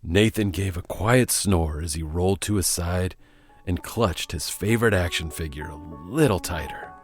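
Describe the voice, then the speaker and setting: A man reading a scripted story as narrator, with a lively, expressive delivery. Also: low-pitched, moderate pace, faint music behind.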